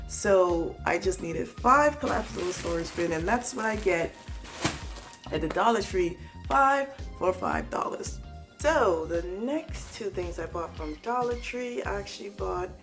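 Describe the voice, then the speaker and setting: A woman with a raspy, hoarse voice from a cold, chatting casually to viewers in a home haul vlog, rambling with asides. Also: medium pitch. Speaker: home organizing vlogger.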